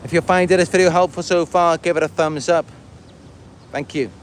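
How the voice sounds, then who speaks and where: A man from Wales with a Welsh accent, talking casually and a little haltingly in a rambling livestream monologue. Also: a little high, fairly even intonation, a little hiss or hum. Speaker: livestream host and content creator. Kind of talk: casual livestream monologue, answering viewer comments.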